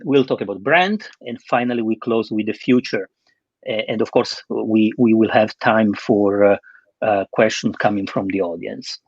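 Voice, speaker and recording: Male webinar host and interviewer, husky voice, muffled close-sounding recording. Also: medium pitch, a little mellow, no room sound. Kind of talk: hosting an online interview.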